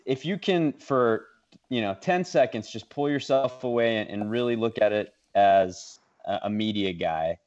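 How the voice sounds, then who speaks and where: Low-voiced male sports host, muffled audio. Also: measured pace, darkish tone. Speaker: sports radio host, interviewer. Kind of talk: casual sports talk interview.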